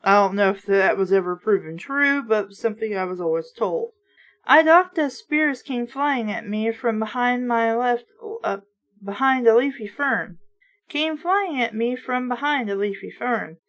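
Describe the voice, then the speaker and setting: A woman's voice: fairly high pitch, lively intonation, measured pace, fairly bright tone. A woman hosting an informal read-aloud of books, with casual, snarky asides along the way. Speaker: host reading books aloud.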